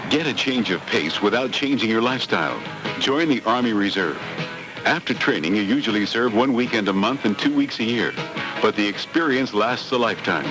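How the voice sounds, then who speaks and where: A man's voice: lowish voice, expressive, brisk, music in the background, rough recording. A man reading a scripted recruitment commercial as a radio announcer.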